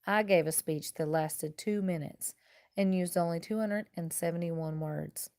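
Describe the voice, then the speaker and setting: A woman reading a children's picture book aloud like a teacher, with expressive, deliberate and emphatic phrasing. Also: lowish voice, no echo, studio quality. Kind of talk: expressive children's storybook read-aloud in first person.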